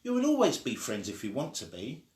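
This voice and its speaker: A man's voice: mid-range voice, wildly swooping pitch, a little fast, a little mellow. A man voicing a caring, reassuring parent in a children's storybook, with a plain delivery. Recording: echoey room.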